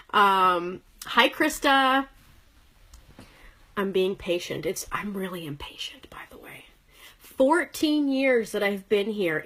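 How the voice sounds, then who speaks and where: A chatty, upbeat woman in her thirties from Canada, speaking from a corner of her living room in a casual livestream. She talks straight to her viewers with a mid-range voice, greets commenters by name and fills pauses with plenty of ums. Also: mid-range voice, a bit bright. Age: 30s. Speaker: direct sales presenter.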